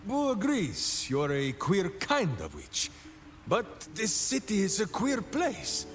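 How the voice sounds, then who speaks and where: An actor playing a hearty, earnest hulking ranger in a video game, grandly speaking of himself in the third person. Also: male voice, high-pitched, huge pitch swings, slow pace, music in the background, full character voice. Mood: hearty, affectionate. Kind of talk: theatrical video game dialogue.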